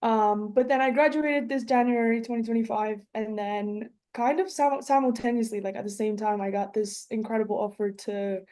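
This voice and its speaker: A woman's voice: fairly high pitch, fairly even intonation, normal speed, hardly any pauses, mellow. A woman in her twenties from Colombia, a professional footballer chatting as a podcast guest, with lots of fillers and restarts. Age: 20s. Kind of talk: casual interview chat.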